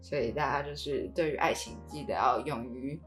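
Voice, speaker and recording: A woman's voice: extremely low pitch, fairly quick, faint music behind. A woman with a Taiwanese Mandarin accent hosting a podcast in a casual, conversational way. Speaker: podcast host.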